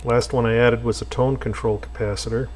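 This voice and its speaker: A man restoring an old radio, talking casually to himself with lots of pauses and fillers. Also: medium pitch, slight background noise. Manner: relaxed, thinking aloud with many fillers. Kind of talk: casual workshop narration.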